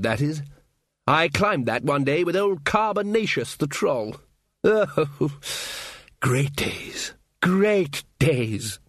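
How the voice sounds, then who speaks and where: A man's voice: a little high, a bit bright, no echo, clean studio sound, pitched above the performer's own voice, full character voice. Man playing a drawling, theatrical old wizard. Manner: theatrical, drawn-out, wistful reminiscing. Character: old male wizard, blustering, nostalgic, grandly theatrical.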